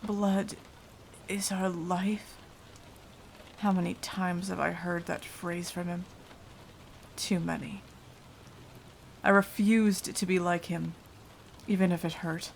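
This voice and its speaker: A woman acts out a remorseful female vampire in an ASMR roleplay. Her lowish voice moves slowly and haltingly, often sinking into a hushed whisper. Light rain falls behind her. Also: flat delivery, creaky, a little hiss or hum. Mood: anguished, ashamed.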